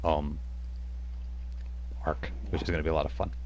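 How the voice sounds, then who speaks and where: A man's voice: extremely low pitch, little pitch movement, normal speed, a little mellow, a little hiss or hum, low-quality recording. A man running a tabletop roleplaying game as game master, telling the scene in a rambling, chuckling way with many fillers.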